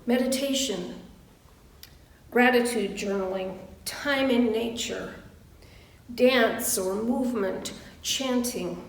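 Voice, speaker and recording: Slow, high-voiced woman preaching in echoey room. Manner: measured, deliberate, short phrases with pauses. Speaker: minister. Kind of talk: sermon and guided prayer. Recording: roomy echo, distant microphone.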